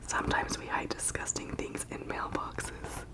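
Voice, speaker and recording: A woman whispering softly in an ASMR style, breathy and unvoiced, with gentle, deliberate delivery and long pauses. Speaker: ASMR channel host. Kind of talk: soft whispered ASMR, chatting and reading aloud.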